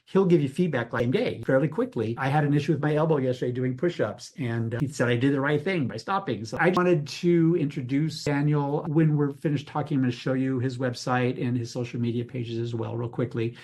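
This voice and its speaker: Laid-back guy interviewing his coach, average pitch, bit halting. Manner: relaxed, slightly halting with small restarts. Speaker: interview host and client. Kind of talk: casual interview chat.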